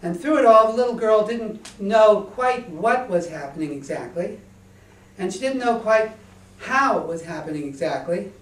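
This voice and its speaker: A man tells a whimsical children's story on a small stage. The delivery is deliberate and rhythmic, with the sound echoey and somewhat distant from the microphone. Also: sits high, lively intonation. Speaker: author reading own story. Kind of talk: children's storytelling to a live audience. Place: small indoor stage before an audience.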